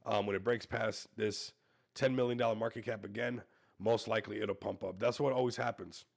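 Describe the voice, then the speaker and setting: A man hosting a casual crypto livestream, hoarse and losing his voice, hyping coins and calling out to viewers. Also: fairly low pitch, fairly even intonation, fairly quick, a little mellow. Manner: hype-driven, repeats "everybody", talks to viewers. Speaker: crypto show host.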